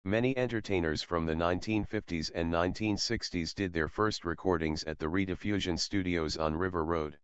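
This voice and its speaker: A man's voice: low-pitched, fairly bright tone, clear, resonant tone, no echo, lo-fi sound. A man reading a scripted documentary narration.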